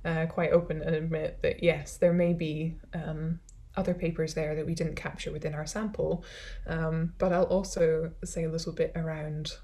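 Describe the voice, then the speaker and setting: A woman's voice: sits low, little pitch movement, normal speed, hardly any pauses, warm tone. A woman with a Scottish accent, a social science academic answering questions thoughtfully and self-deprecatingly in a conversational way after a talk. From Scotland.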